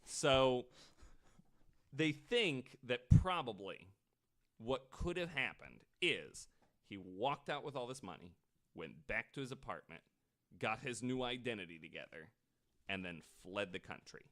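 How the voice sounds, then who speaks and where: A man's voice: medium pitch, very animated, a little slow, bright, forceful tone. A man podcast host telling a story in a casual, informal way with some swearing. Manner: informal, profane.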